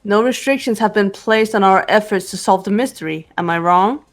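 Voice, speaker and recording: A woman voicing a cool, determined teenage detective girl in a visual novel game, measured and reserved. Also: medium pitch, faint music behind, natural voice. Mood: cool persuasion.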